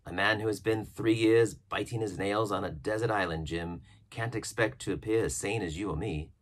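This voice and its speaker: Man reading as a husky, wry gentleman ship's doctor. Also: lowish voice, normal speed, close to an everyday voice. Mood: wry, confiding. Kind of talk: storybook character reading.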